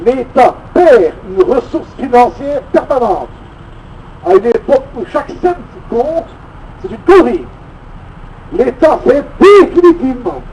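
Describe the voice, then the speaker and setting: A man's voice: very high-pitched, vocal fry, warm tone. A man with a Belgian French accent giving an emphatic, indignant political speech from notes at an outdoor gathering, with sardonic asides. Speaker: political activist speaker at a citizens' rally. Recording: muffled distant outdoor recording with faint traffic noise.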